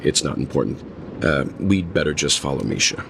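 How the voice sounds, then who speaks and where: A man playing a composed, thoughtful, scholarly traveler, speaking in a measured, polite, reflective way in a game cutscene. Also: a bass voice, creaky, background noise. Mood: hesitant, wry. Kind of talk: video game cutscene dialogue.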